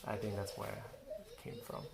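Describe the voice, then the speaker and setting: A man's voice: on the low side, wildly swooping pitch, normal speed, gravelly. A young man from Thailand co-hosting a casual family video, speaking hesitantly with lots of fillers and trailing off. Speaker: co-host of a family channel. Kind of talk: casual chat.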